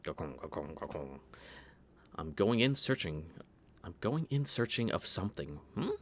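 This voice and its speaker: Low, lively male streamer reading narration, cracks jokes, music bed. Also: normal speed, slight background noise. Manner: reads narration aloud, jokes and swears. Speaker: streamer reading a visual novel. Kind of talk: casual read-through. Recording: voice over game music.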